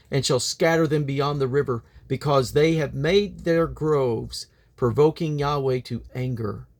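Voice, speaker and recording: A man reading from the King James Bible in a solemn, deliberate manner, with emphatic phrasing and drawn-out endings. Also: fairly high pitch. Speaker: Bible reader.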